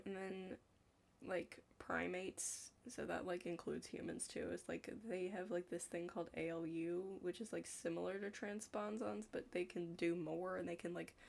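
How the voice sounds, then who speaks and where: A young woman chatting casually in an ASMR study roleplay, rambling and hesitant, hushed and slipping into whispers. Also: lowish voice, gravelly. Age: young adult. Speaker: ASMR creator playing a study partner.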